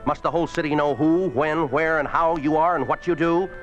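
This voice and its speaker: An actor playing a domineering Communist Party boss in a 1950s radio drama, clipped, commanding and coldly sarcastic. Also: male voice, a little high, fairly even intonation, fairly quick, lo-fi sound, close to an everyday voice. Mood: scornful fury. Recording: old 1950s radio broadcast, music behind.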